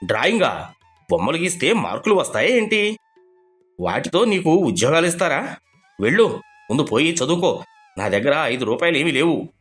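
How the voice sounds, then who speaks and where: An actor playing a stern, penny-pinching middle-class husband who talks down to his family in an animated moral story. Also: a little high, moderate pace, pauses often, soft background music. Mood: scolding. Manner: scolding, questioning. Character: stern, tight-fisted middle-class husband, bank clerk, belittling his wife.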